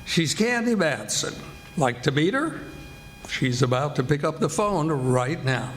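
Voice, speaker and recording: A man playing a radio announcer, giving a teasing sales pitch in 1940s show-introduction style. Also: animated, a little slow. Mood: teasing, salesy. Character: punchy male radio announcer selling a lady detective. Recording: live stage recording under loud music.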